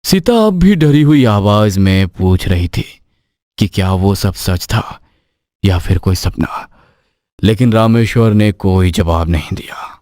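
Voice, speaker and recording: Deep, slow male horror narrator. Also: dry recording, clean studio sound. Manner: suspenseful, dramatic. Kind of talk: scripted horror story narration. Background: loud music bed.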